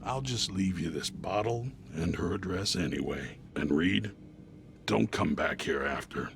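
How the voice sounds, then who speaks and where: Game blackmailer: deep, unhurried, thick vocal fry, condescending and coldly menacing. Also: male voice, lively intonation, noisy background, exaggerated voice. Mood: smug menace. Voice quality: heavily gravelly, creaky. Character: cold, scheming male blackmailer, smug and menacing. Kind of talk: video game cutscene acting.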